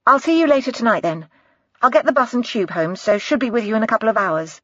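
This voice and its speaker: A woman's voice: on the high side, expressive, fast, fairly bright tone, no echo, voice pushed up. A woman voicing a young policewoman who answers briefly and politely, in a slightly dull, dry recording. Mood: reserved.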